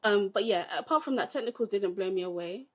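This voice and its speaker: A woman's voice: mid-range voice, quick pace. A British woman giving emphatic film opinions. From Britain. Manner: emphatic, opinionated, animated delivery. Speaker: podcast co-host, film reviewer. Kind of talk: casual review chat.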